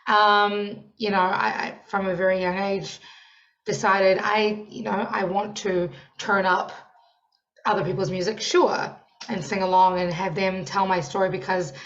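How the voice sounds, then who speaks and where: A young woman with a New Zealand accent chats as a podcast guest, loud and lively, quick to laugh. Her voice sits at a middling pitch. It comes through a slightly dull, lo-fi remote line. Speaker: podcast guest, songwriting coach. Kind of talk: casual interview chat.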